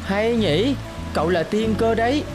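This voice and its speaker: A woman's voice: on the high side, lively intonation, measured pace, music in the background. A woman voicing a lonely, eager little boy in a cartoon, childlike and earnest. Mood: friendly, eager. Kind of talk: cartoon voice acting.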